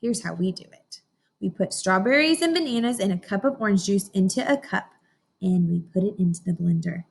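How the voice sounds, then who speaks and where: A woman teacher reading a picture book aloud to young children, expressive, often pausing to question her listeners directly. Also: medium pitch, vocal fry, breathy edge. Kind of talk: informational read-aloud lesson for young children.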